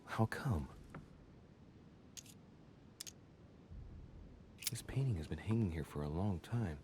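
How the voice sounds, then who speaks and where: An actor playing a brooding investigator in a video game, speaking in a measured, solemn and formal way. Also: male voice, low-pitched, expressive, mellow, slight background noise. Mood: pensive. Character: brooding male investigator examining religious paintings and scripture. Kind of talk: scripted video game voice acting.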